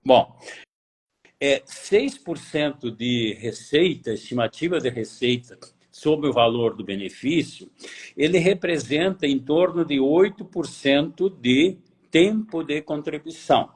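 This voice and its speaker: Husky man explaining pension math. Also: fairly high pitch, expressive. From Brazil. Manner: rhetorical questions, pauses to reformulate. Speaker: pension auditor. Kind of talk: explanatory lecture.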